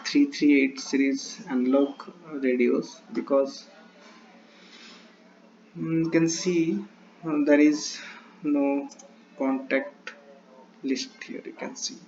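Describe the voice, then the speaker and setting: A man presenting a step-by-step tutorial in halting, short broken phrases on a lo-fi recording. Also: medium pitch, fairly even intonation, creaky, a little mellow. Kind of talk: screen-share tutorial, explaining step by step. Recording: dull lo-fi mic with faint noise.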